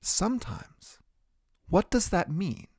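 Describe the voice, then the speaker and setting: A new woman teacher, polite and out of her depth, as a male reader puts her on. She speaks slowly and carefully in a raised, softer voice. The recording is dry and close. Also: high-pitched, big pitch swings, husky, dry recording, pitched above the performer's own voice, heavily acted. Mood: flustered. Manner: polite, unsure, slow.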